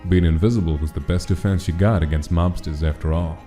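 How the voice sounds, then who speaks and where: A man's voice: deep voice, steady tone, fast talker, dark tone. A man reading a scripted, dramatic story as its narrator. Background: background music.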